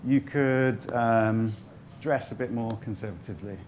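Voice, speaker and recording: A man, a startup founder, speaking halting and hesitant on a panel, restarting his phrases as he asks for advice. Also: medium pitch, crowd noise. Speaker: startup founder pitching a patent law product. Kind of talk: panel Q&A on a stage.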